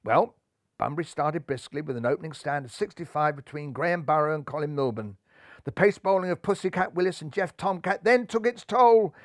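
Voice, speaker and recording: A man's voice: a little high, expressive, fairly quick, no echo, clean studio sound. A man narrating a children's animal story aloud in a playful, theatrical way.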